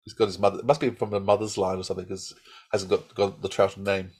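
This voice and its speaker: A man's voice: low-pitched, flat delivery, fast talker, darkish tone, breathy edge. A man co-hosting a podcast in casual chat, hesitating and repeating phrases as he thinks aloud. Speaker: podcast co-host.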